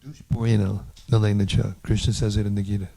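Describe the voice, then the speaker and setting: A man explains a point during a group discussion. His voice is dark and gravelly with little movement in pitch. It sounds somewhat distant on the recording. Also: little pitch movement, quick pace, dry recording. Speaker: participant quoting scripture in a discussion.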